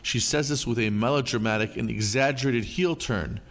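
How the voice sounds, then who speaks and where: A man hosting a storytelling channel, giving an expressive scripted reading of online stories. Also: medium pitch, moderate pace. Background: faint background music.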